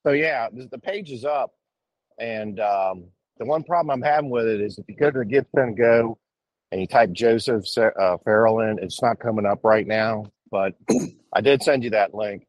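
A man with a somewhat low voice explains things on a live show, stopping often to hesitate. The sound is dry and close. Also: moderate pace, no room sound, muffled recording. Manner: hesitant, full of ums. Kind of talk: casual live chat.

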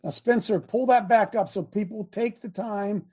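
A man's voice: sits high, steady tone, normal speed, darkish tone, breathy edge. A man hosting a live talk stream, talking emphatically and directing his producer between remarks.

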